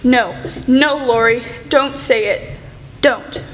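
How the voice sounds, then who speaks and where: Young woman playing headstrong, high-voiced girl in echoey hall. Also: slow, fairly bright tone. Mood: reluctant, gentle. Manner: emphatic, dramatic, defiant. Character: Jo, headstrong, impulsive young woman, spirited writer. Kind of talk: radio play acting. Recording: echoey hall with long reverb, noisy.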